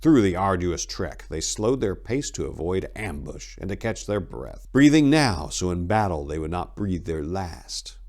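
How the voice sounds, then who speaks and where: A man giving a theatrical, suspenseful dramatic reading of a dark fantasy story as an audiobook narrator. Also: fairly low pitch.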